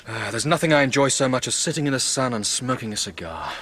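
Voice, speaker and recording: An actor playing an earnest, polite and inquisitive young gentleman in a radio drama. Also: medium pitch, sing-song, fast, slight background noise. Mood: eager.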